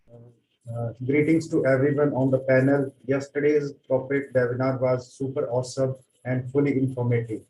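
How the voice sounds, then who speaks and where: A man's voice: mid-range voice, a little mellow. A man giving an effusive, grateful testimonial as a community member on an online webinar. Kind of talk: earnest thank-you speech on a group webinar. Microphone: online video call. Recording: roomy, distant mic, faint background noise.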